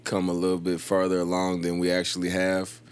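A man in his thirties, a podcast guest, telling a story in casual conversation in a thoughtful, measured way. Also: low voice, completely flat delivery, clean tone. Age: 30s.

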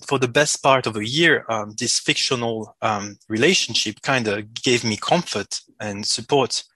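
Bright-voiced Swiss French man, slightly congested. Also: mid-range voice, unhurried, no echo. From Switzerland. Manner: hesitant, self-correcting. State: slight cold. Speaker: interview guest. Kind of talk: halting conversational interview talk.

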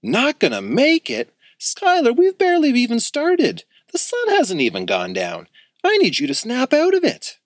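A man putting on an excitable storybook boy with a raised, bouncing voice that leaps between highs and lows. He sounds eager and breathless, always scheming, in a dry, close recording. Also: moderate pace, no echo. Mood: excited. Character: excitable boy party planner.

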